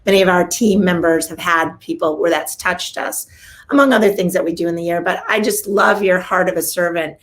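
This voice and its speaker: A woman hosting a casual interview show, enthusiastic and full of praise, repeating her words when excited. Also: fairly low pitch, brisk. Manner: enthusiastic, gushing, repeats "love". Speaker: host, realtor.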